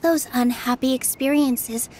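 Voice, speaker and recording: A woman voicing a kind, sincere young dancer in an anime game, soft, earnest and reassuring. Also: high-pitched, steady tone, measured pace, a little hiss or hum. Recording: music bed behind the voice.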